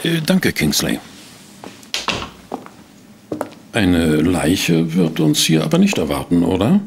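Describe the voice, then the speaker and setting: An actor playing a polite, pensive private detective who narrates and investigates in a German audio drama. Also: male voice, sits low, wildly swooping pitch, unhurried, creaky, noisy background, exaggerated voice. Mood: polite, probing. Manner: courteous, pensive, hesitant. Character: polite, inquisitive male private detective, narrating his case.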